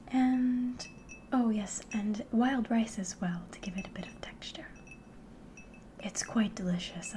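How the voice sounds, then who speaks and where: A woman playing a friendly, curious innkeeper in an ASMR roleplay, speaking softly and politely, often slipping into a whisper. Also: mid-range voice, a little hiss or hum, close to an everyday voice. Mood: hospitable. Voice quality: soft, breathy, often whispering. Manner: gentle, unhurried, polite, hospitable. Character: friendly, curious female innkeeper of a fantasy tavern.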